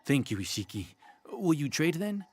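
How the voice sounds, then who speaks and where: A man's voice: a little high, big pitch swings, normal speed, darkish tone, husky. A man playing an anxious, pleading market trader in a video game. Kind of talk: video game dialogue acting.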